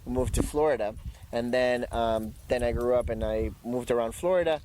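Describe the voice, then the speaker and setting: Guy, 30s, chatty interview guest, laughs a lot, average pitch, bit of hiss. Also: normal speed, darkish tone. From Ecuador. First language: Spanish. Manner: chatty storyteller who laughs often. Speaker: guest, dog trainer. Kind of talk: casual interview chat.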